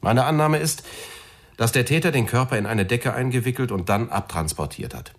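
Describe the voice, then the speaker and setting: An actor playing a precise, slightly showy police forensics expert in a radio crime drama, presenting findings deliberately. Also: male voice, mid-range voice, expressive, natural voice. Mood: measured, confident.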